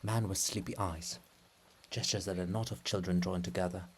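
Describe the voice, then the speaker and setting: A person giving a dramatic reading of a play in a theatrical, deliberate manner, phrase by phrase. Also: deep voice, fairly even intonation, slight background noise. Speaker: reader of a play.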